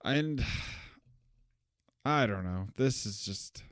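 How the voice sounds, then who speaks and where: Young male podcast co-host, casual film chatter, smooth lowish voice, dry close mic, loose rambling delivery. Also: expressive, very slow, fairly bright tone, dry recording. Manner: rambling, self-correcting, laughs at own jokes. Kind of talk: casual film review chat.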